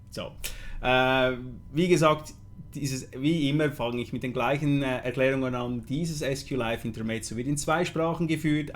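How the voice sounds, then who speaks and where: A middle-aged man whose first language is Swiss German, hosting a casual live stream and moving between English and German, speaking in a loose, chatty way with frequent fillers and self-corrections. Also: medium pitch. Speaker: host of a product live stream.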